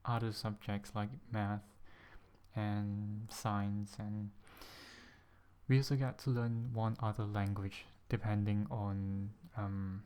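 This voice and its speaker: A young man from Singapore with a Singaporean-tinged English accent, talking to camera in a casual vlog, hesitant and a bit nervous, pronouncing words with deliberate care. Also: on the low side, little pitch movement, a little mellow, slight background noise. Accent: Singaporean-influenced English, carefully pronounced. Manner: hesitant, many ums, a little nervous; careful, deliberate pronunciation. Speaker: ASMR channel host. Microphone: USB desk microphone.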